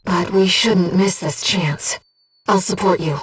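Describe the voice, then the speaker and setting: A woman voicing an earnest, gentle guide who speaks inside a pilot's head in a mecha video game, in measured scripted delivery. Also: on the low side, husky, distant mic, full character voice. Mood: calm, focused. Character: soft-spoken, earnest female voice in a pilot's head, loyal guide. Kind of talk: scripted video game voice acting, tactical callouts.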